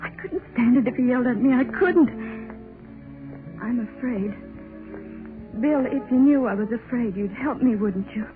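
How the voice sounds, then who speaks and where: A woman's voice: a little high, moderate pace, long pauses, narrow, tinny audio, soft background music, natural voice. A woman playing a timid, anxious young wife in 1930s radio drama, pleading and hesitant, on a thin old broadcast. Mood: pleading, afraid. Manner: hesitant, pleading, breathlessly emotional. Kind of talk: 1930s radio drama acting, interior monologue.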